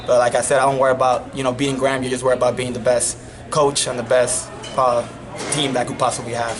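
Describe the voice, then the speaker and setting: A young man from the Dominican Republic, a native Spanish speaker, giving a relaxed post-match interview as a college wrestling coach, full of you-knows. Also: medium pitch, fast, over music. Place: wrestling tournament arena. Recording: loud arena crowd behind. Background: crowd noise.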